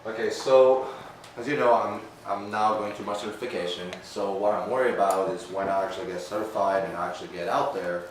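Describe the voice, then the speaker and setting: Earnest thirties guy from Portugal, low voice, recorded far off in a boomy room. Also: fairly even intonation, a little fast. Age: 30s. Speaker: aspiring personal trainer. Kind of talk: casual chat. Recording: far from mic, very echoey room, faint noise.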